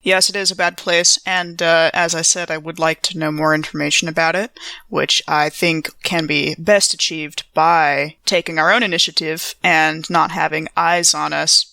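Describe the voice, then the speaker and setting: Bright, creaky woman with formal wording. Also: low-pitched, steady tone, normal speed, dry recording. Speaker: player in an actual play podcast. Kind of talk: in-character tabletop role-play dialogue.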